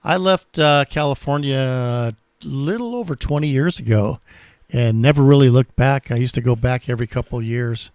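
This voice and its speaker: A man's voice: mid-range voice, moderate pace, darkish tone, clear, resonant tone, no room sound, studio quality. A man in his sixties with an American accent, chatting casually as an amateur radio operator. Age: 60s. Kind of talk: casual ham radio chat.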